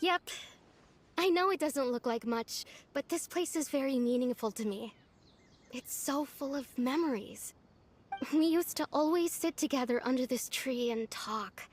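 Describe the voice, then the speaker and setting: A woman voicing a gentle, wistful young village woman in a video game, reflective in manner. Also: high voice, a little slow. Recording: faint music behind the voice.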